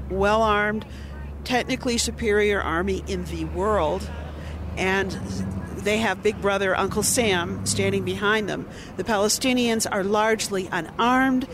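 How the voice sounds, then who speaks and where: A man giving his political opinion outdoors on a city street, earnest and emphatic. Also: high voice. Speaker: protester interviewed on the street. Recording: street noise behind the voice.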